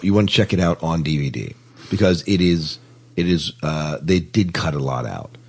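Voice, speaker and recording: Very deep, husky male podcast host. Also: normal speed. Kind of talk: casual podcast chat.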